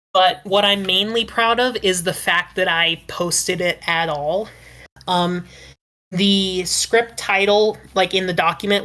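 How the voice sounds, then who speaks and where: A woman's voice: mid-range voice, pushed and bright. A woman talking casually as a writer on a podcast panel, explaining things in a careful, reflective way.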